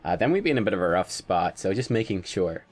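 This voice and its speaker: Casting: young man with a head cold, stuffed up, lowish voice, chatty game analyst. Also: animated, fast talker, faint music behind. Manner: chatty, analytical, with little laughs. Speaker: gaming commentator. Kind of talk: casual game commentary over draft.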